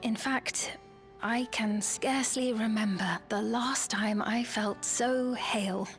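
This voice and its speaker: An actress playing a gentle, formal young queen in a video game, speaking in measured, earnest, archaic phrases. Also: a little high, faint music behind. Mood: grateful, composed. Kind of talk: scripted video game cutscene acting.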